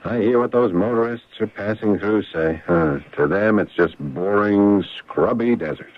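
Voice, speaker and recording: A man's voice: on the low side, measured pace, natural voice. An actor playing an easygoing, guarded amnesiac handyman in an American radio drama, with a hesitant, plain-spoken delivery and an American accent. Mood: wistful. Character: easygoing amnesiac handyman, guarded about his forgotten past. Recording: dull, dry old radio recording.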